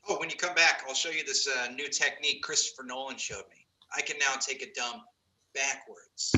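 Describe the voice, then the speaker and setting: A man's voice: medium pitch, fairly even intonation, normal speed, noisy background, close to an everyday voice. A man playing a smug, self-pitying Hollywood actor in a comedy table read.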